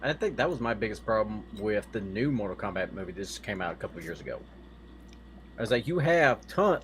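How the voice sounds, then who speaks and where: A man of medium pitch chats casually as a podcast co-host. His phrasing is blunt and informal, and there is audible noise in the background. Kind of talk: casual chat about movies.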